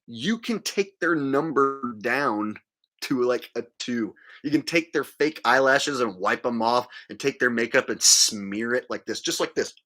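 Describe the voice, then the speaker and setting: Man with animated, wide-swinging pitch, ranting casually. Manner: mocking, crude, acting out mimicry. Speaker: livestream host. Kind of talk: ranting, casual livestream monologue.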